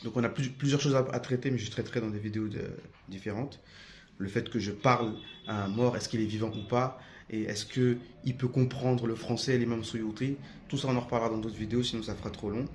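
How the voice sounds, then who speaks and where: A man's voice: medium pitch, fairly even intonation, brisk, a little hiss or hum. A young man from France giving an unscripted, defiant monologue to camera, with many hesitations and restarts. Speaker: religious video commentator.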